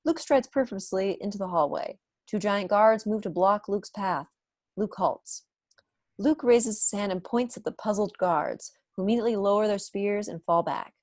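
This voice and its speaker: A woman's voice: medium pitch, normal speed, darkish tone, dry recording, clean studio sound. A young adult woman reading stage directions as narrator in an online table read, recorded at home over earphones on a video call. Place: small room at home, indoors. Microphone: wired earphones on a video call.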